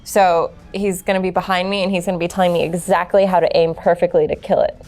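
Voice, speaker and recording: A woman's voice: on the low side, level tone, fast talker, a little mellow. A woman playing a tabletop role-playing game, talking casually and informally with lots of "like". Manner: informal, frequent "like". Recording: music behind the voice. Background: music.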